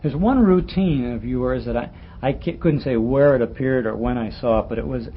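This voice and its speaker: An American man hosting a casual, nostalgic interview, with halting, hesitant phrasing. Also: mid-range voice. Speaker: radio interviewer and host. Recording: muffled, noisy archival radio interview.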